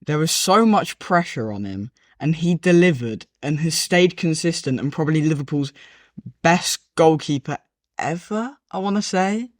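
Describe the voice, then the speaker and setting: A man's voice: on the high side, expressive, normal speed, no echo, studio quality. A man on a casual football podcast, arguing his picks earnestly and hesitantly, with lots of "like" in his speech. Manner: earnest, hesitant, many "like" fillers. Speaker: podcast guest.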